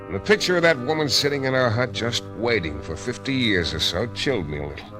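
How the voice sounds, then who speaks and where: An actor playing a tough, world-weary private detective who narrates a hardboiled 1940s-style radio drama with clipped, sardonic delivery. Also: male voice, mid-range voice, lively intonation, fast talker, over music, lightly acted. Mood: wry, suspenseful. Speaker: detective and first-person narrator.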